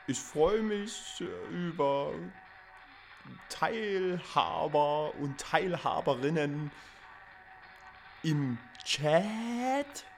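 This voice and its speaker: A man hosting a live radio show, speaking haltingly and playfully repeating himself. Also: a little high, expressive, creaky, soft background music.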